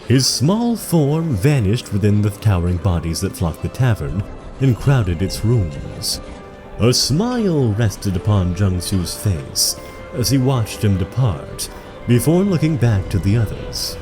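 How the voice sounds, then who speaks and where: An actor playing a solemn storyteller, narrating a fantasy audio drama in a dramatic, literary manner. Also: male voice, fairly low pitch, big pitch swings, measured pace, over music. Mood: gentle.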